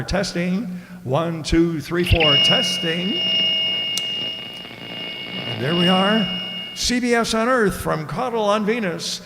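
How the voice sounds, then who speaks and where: Guy acting a brash excitable space reporter, radio play, kinda high voice, echoey hall. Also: vocal fry, noisy background. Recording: echoey hall with long reverb.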